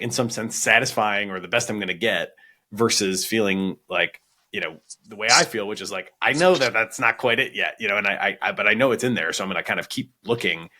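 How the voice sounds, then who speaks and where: A male podcast host with a fairly low, bright and forward voice. He interviews in a conversational, discursive way, thinking aloud as he works through long questions.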